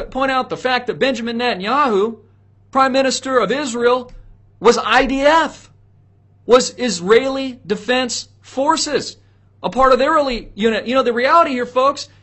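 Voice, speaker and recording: A man's voice: very high voice, pushed and bright. A man giving opinionated solo political commentary to viewers, speaking in an emphatic, preachy way with repeated rhetorical questions.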